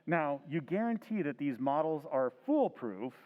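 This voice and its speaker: A man's voice: fairly high pitch, big pitch swings, unhurried, long reverb tail. A man playing a nervous, stammering husband. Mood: hesitant. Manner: hesitant, full of uh's and stammers. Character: nervous, scheming middle-aged husband. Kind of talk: old-time radio drama recreation. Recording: dull, lo-fi sound.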